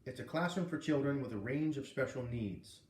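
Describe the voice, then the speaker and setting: A man playing a calm, earnest schoolteacher who explains things patiently to his class in a storybook. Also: medium pitch, moderate pace, reverberant, far from the mic, a little hiss or hum, close to an everyday voice. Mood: earnest, serious.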